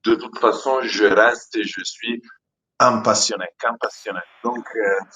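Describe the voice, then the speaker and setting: A man from Italy speaking French with an Italian accent, halting and repeating words as he searches for them in a casual live chat. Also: on the low side, steady tone, bright, forceful tone, low-quality recording. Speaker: aquarium product company founder.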